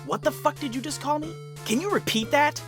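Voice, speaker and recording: A woman's voice: high voice, a little fast, music in the background, lightly acted. A woman voicing an irritable, foul-mouthed young male troll in a comic fan dub, abrasive and exasperated. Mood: furious.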